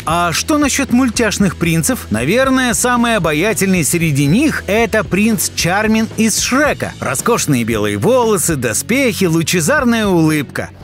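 Guy narrating a list video, really lively swoopy delivery, playful, talks to the viewer, music under it. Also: sits high, normal speed, few pauses. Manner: playful, exclamatory, addressing the viewer directly. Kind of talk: scripted narration. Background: background music.

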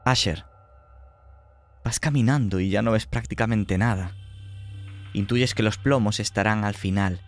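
Male game master, Spain accent, low voice, suspenseful horror narration in short phrases, faint music underneath. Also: unhurried, long pauses. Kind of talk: narrating a horror tabletop role-playing game.

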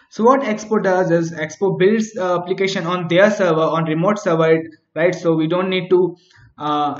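A man teaching a coding tutorial over his screen, talking through each step and often asking "right?" as he thinks aloud. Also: a little high. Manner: frequent restarts and fillers while thinking aloud. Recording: echoey, dull home recording.

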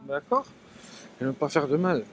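Earnest man in his thirties, slightly high. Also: very animated, normal speed, a little hiss or hum, poor audio. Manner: earnest, affirming. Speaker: passerby interviewee. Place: shopfront on a city street at night. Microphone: handheld mic.

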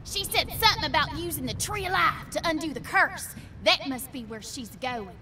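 A woman's voice: high voice, animated, moderate pace, sharp tone, slight background noise, exaggerated voice. A woman voicing a worried, earnest fantasy townswoman in a video game. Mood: worried, urgent. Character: worried fantasy townswoman giving quest information.

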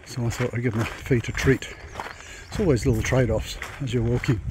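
A man's voice: mid-range voice, steady tone, brisk. A man vlogging as he walks a long pilgrimage route, talking through his plans in a rambling, thinking-aloud way. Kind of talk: casual walking vlog. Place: outdoors on a rural dirt track. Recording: noisy outdoor walking recording. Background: rural outdoor noise.

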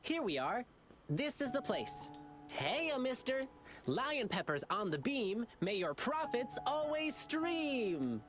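Eager, lively young lion dancer boy. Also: fairly high pitch, slow, music in the background. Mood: upbeat. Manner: cheerful, chanting rhymed blessings. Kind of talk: English video game voice acting.

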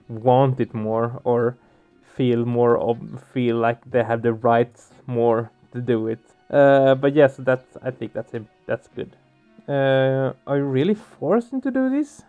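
A man's voice: mid-range voice, measured pace, smooth, phone-quality sound. A man giving casual let's play commentary, thinking aloud in a hesitant way. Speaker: let's player. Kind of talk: casual game playthrough commentary. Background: soft game music.

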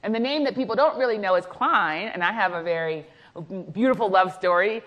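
A woman in her thirties whose first language was Haitian Creole, interviewing on an indoor festival stage with a lapel mic, lively and laughing easily. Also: medium pitch, brisk, dull audio. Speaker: interviewer, journalist. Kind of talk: onstage festival conversation.

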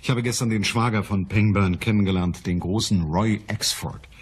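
A man's voice: fairly low pitch, steady tone, moderate pace, a little hiss or hum, lightly acted. An actor playing a shrewd, businesslike male private detective in a radio crime play.

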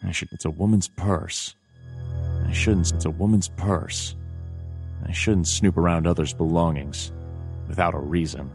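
An actor playing a dry, weary bird detective, narrating a noir adventure game in terse deadpan. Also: male voice, deep voice, unhurried. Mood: musing, deadpan. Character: brooding anthropomorphic bird detective, adult male, dry and weary. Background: jazzy background music.

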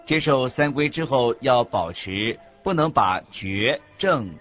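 Music plays behind a dull recording of an earnest Buddhist master in a radio drama. He teaches didactically in measured phrases, with lively rises and falls at a medium pitch. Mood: earnest, instructive. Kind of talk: scripted radio drama dialogue, expository. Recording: dull lo-fi audio with background music.

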